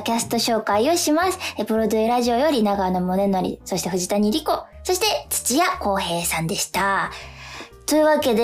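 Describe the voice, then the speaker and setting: Female radio host, medium-pitched voice. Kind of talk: presenting and casual radio chat. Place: radio studio.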